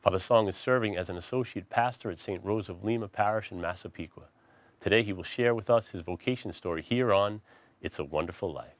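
A middle-aged man hosts a religious TV interview show from a studio set. His voice is somewhat low, moving between a scripted introduction and easy questions with small restarts. A lapel mic keeps him close and clear. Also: fairly quick. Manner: scripted intro read, then warm conversational questions with restarts. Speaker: priest host. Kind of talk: TV interview hosting.

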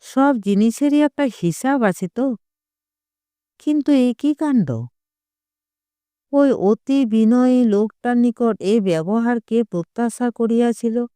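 A narrator reading a literary short story aloud in a measured, dramatic storytelling manner. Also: medium pitch, warm tone, clear, resonant tone, no echo, clean studio sound. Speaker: audiobook narrator.

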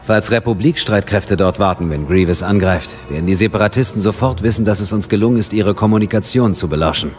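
An actor playing a dutiful, respectful intelligence captain, formal and factual, in a radio drama. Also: male voice, fairly low pitch, quick pace, hardly any pauses, faint music behind, natural voice.

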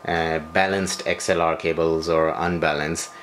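A man presenting a hands-on equipment tutorial, speaking off the cuff in halting, broken phrases with frequent hesitations. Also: extremely low pitch, flat delivery, fairly bright tone. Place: small bedroom, indoors. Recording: home video with noticeable background noise.

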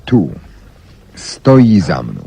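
An actor playing a brilliant, self-assured detective, explaining deductions theatrically with dramatic pauses in a radio drama. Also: male voice, sits low, wildly swooping pitch, gravelly, dark tone, heavily acted. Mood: confident, teasing. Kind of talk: 1970s Polish radio drama acting. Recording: noisy 1970s radio archive recording.

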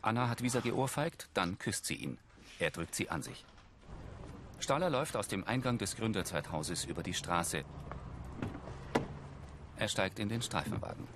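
A man's voice: on the low side, lively intonation, normal speed, long pauses, noisy background. A man reading scripted scene narration as an audio describer for a television crime series.

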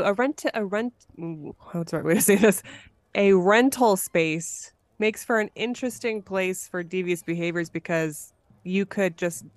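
A woman with a slightly low voice hosts a casual podcast. The sound is very dry, with no room echo, and she talks informally, thinking aloud as she goes. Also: clean tone. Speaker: podcast host.

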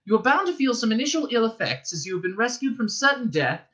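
Man putting on a high, pleasant female announcer. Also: very animated, a bit bright, exaggerated voice. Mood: pleasantly reassuring. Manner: sensible, soothing, measured announcement. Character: calm, pleasant female ship's announcer, stewardess-like.

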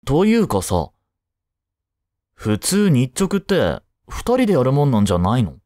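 Voice drama role: laid-back, teasing, protective teenage boy , played by a young man; medium pitch, unhurried, casual delivery. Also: huge pitch swings, a little mellow, no echo, clean studio sound. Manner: casual, blunt, teasing banter with pauses. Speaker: voice actor. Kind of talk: first-person voice drama, casual chat to the listener.

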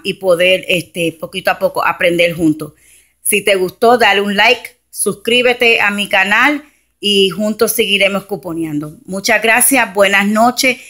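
A woman's voice: mid-range voice, bright, forceful tone. A woman from New Jersey, chatting casually through a grocery haul and explaining prices step by step, recorded on a handheld phone at home. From Camden, New Jersey, USA. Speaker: couponing vlogger. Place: home, beside a shelf of groceries, indoors. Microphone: handheld phone camera.

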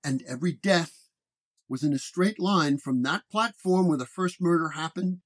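A man voicing a gruff, sour older police sergeant with a brusque, emphatic manner in a detective story. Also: fairly high pitch, moderate pace, natural voice. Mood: annoyed, brusque. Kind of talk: storybook detective horror dialogue.

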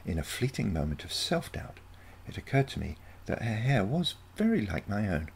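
Male narrator for short fiction: gravelly low register, expressive melody. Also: normal speed, slight background noise. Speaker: story reader. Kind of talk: scripted short-story narration.

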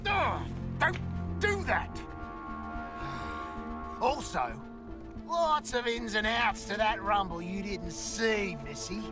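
A mouthy, boozy Australian lowlife from a video game. His voice sits very high for a man and he drawls at a slow pace. He is brash and slangy, a wheedling coward, with music behind him. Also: animated. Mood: flippant. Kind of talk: video game cutscene acting.

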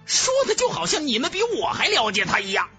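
A man's voice: very high-pitched, huge pitch swings, a little fast, bright, forceful tone, husky, faint music behind, pitched above the performer's own voice, full character voice. A man voicing an anxious, cold rival swordsman in a fantasy audiobook, with urgent, emphatic delivery. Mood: indignant.

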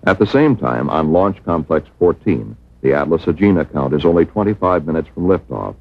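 An American man reading a scripted educational film narration in a measured, formal manner. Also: extremely low pitch, lively intonation, no echo. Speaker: documentary narrator. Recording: muffled, worn 1960s film soundtrack.

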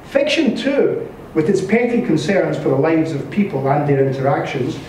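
A man reads literary fiction aloud like a stage storyteller, with an expressive, dramatic delivery. His voice sits fairly high and moves through lively swings of pitch, with a soft, mellow tone. It is a live reading in a bare indoor space. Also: normal speed. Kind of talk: scripted literary narration read aloud. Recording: echoey room from a distance, faint noise.

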